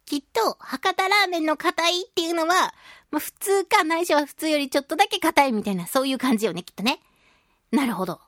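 A woman's voice: high-pitched, fairly quick, fairly bright tone, no echo. A woman hosting a chatty radio talk show, speaking in a playful, theatrical way with mock-polite phrasing, close to a studio microphone. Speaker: voice actress. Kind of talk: chatty radio talk, reading listener mail.

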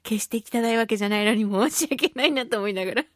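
A woman's voice: a little high, quick pace, no room sound, studio quality. A woman hosting a casual solo radio talk, speaking expressively with giggly asides and little sound effects. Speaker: radio show host, voice actress.

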